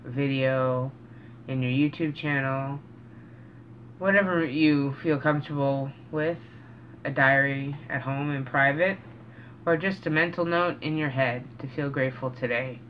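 A middle-aged man talking casually and reflectively to camera as a vlogger, earnest and halting, pausing mid-sentence, in a small room indoors. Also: mid-range voice, fairly even intonation. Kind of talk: casual, reflective vlog talk. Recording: dull, noisy home recording.

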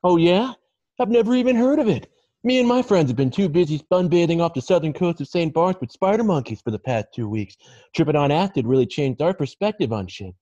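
A man's voice: on the high side, animated, brisk, mellow, dry recording. A man playing a cocky, laid-back hippie male model with a slangy, dismissive drawl in an amateur comedy table read on a video call. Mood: smug, taunting. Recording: dull, dry video-call sound.

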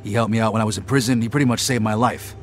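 A man's voice: on the low side, fairly even intonation, very fast, a little hiss or hum, close to an everyday voice. An actor playing a loyal young American mobster in a video game, urgent and blunt as he pleads for a friend. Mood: earnest, pleading. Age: young adult. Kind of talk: video game cutscene acting.

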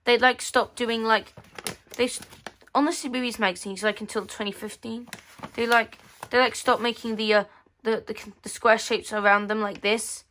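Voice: mid-range voice, little pitch movement, moderate pace, bright, forceful tone, slight background noise. A female speaker giving casual commentary on a magazine page by page, reading bits aloud, with hesitant, rephrased sentences. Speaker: host of a magazine flip-through video. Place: indoors at a tabletop.

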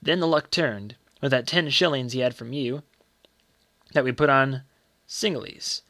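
A man's voice: mid-range voice, unhurried, bright, forceful tone, dry recording. A man voicing a deferential, earnest manservant in a story, respectful and confiding. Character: deferential, earnest manservant, hushed and reverent about secrets.

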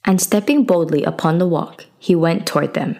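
A woman reads a folktale aloud as a storyteller. Her voice sits a little low, and the delivery is scripted storybook narration. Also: normal speed, vocal fry, a little mellow.

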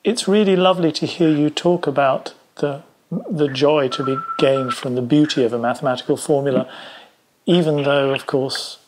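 A man's voice: medium pitch, darkish tone, poor audio. A man interviewing someone in a conversational way, with polite, slightly hesitant phrasing.

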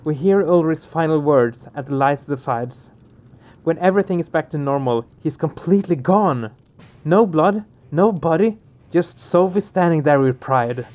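A man's voice: a little high, normal speed, darkish tone. A man hosting a game let's play, expressively reading the story aloud and reacting to it.

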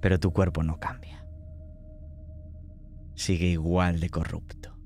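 Deep-voiced Spanish game master. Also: male voice, little pitch movement, vocal fry, darkish tone, faint music behind. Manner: slow, descriptive and dramatic. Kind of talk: narrating a tabletop horror game.

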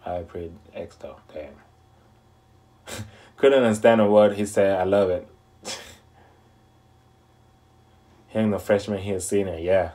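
A man in his thirties chats loosely to his webcam from a small room at home, his voice sitting a little low. He rambles along, leaning on fillers and loose asides as he reacts. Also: moderate pace, pauses often, mellow. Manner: laid-back, rambling, many fillers. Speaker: reaction video host. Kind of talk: casual reaction commentary.

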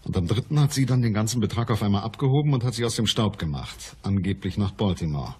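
Very husky private detective. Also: male voice, fairly low pitch, animated, fast talker, a little mellow. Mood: shrewd, businesslike. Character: shrewd, businesslike male private detective. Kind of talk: radio crime play dialogue.

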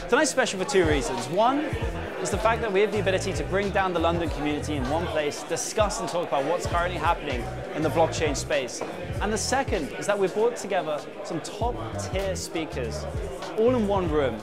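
A man's voice: high voice, expressive, fairly quick, over a noisy crowd. A man hosting a tech meetup, speaking upbeat in an interview over background music. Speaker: event host. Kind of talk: event promo interview.

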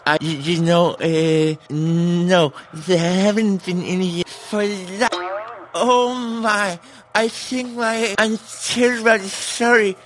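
An actor playing a nervous, apologetic rodent motel receptionist in a cartoon noir game, stammering and hesitant. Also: male voice, high voice, steady tone, slow, a bit bright, a little hiss or hum.

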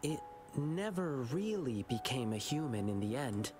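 An earnest young lion dancer from an anime game, boyish and wistful. He tells his tale slowly and carefully, with prominent music underneath. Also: mid-range voice, big pitch swings. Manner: earnest, measured, wistful storytelling. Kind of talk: video game story dialogue.

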